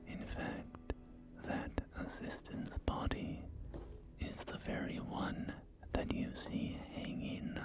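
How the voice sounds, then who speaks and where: A man playing a polite, unflappable real estate agent of the wasteland in a soft ASMR roleplay, whispering in a measured, courteous sales patter. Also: lightly acted. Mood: calm, matter-of-fact. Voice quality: soft, breathy whisper with creaky murmur. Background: music.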